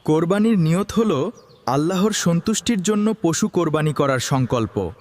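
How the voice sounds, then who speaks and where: A man playing a gentle, pious father who teaches his young son in a children's educational cartoon. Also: on the high side, animated, normal speed, natural voice. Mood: instructive. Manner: instructive, kindly, simple phrasing.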